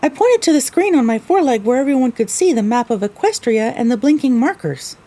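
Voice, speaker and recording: A woman's voice: high-pitched, big pitch swings, brisk, warm tone. A woman narrating a scripted first-person story.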